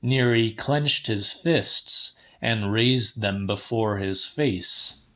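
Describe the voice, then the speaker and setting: A man narrating a literary audiobook in a measured, deliberate and slightly dramatic style. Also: on the low side, sharp tone.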